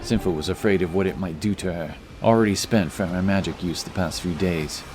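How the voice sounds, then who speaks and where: Male narrator, deep voice, dramatic scripted storytelling, fantasy audiobook, clear music bed. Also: fairly quick. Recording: cinematic music behind the voice.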